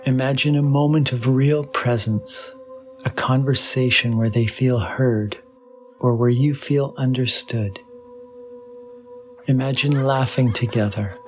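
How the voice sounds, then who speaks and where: A man guides a meditation at a very slow pace, leaving long pauses between phrases. His delivery is soothing and measured, in a mid-range pitch. Clear meditation music plays behind his voice. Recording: voice over background music with singing bowl.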